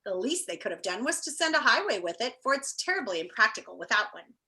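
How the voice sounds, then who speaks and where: A bored, doubtful young storybook boy, read by a woman, grumbles wistfully in a high voice. His words swing up and down and drag out glumly. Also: very animated, quick pace, sharp tone, full character voice. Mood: unimpressed, resigned.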